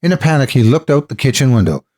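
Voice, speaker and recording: A man's voice: mid-range voice, very animated, quick pace, a little mellow. A man narrating scripted horror stories dramatically as host, close to the microphone.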